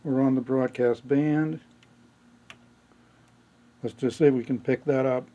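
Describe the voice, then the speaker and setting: A man's voice: mid-range voice, fairly even intonation, unhurried, mellow, highs cut off. A man giving an unscripted hands-on technical walkthrough, thinking aloud in a matter-of-fact way. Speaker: presenter of a radio repair demonstration.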